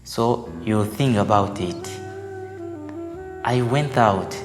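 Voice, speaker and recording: A man speaking slowly and deliberately, addressing the listener directly as he tells how he found his vocation. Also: on the low side. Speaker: seminarian. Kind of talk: personal vocation testimony. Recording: reverberant, with guitar music behind.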